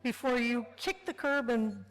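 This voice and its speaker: Woman moderator, kinda high voice, asking panel questions, echoey hall. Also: unhurried, slight background noise. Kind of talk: interview questions on stage. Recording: long hall reverb.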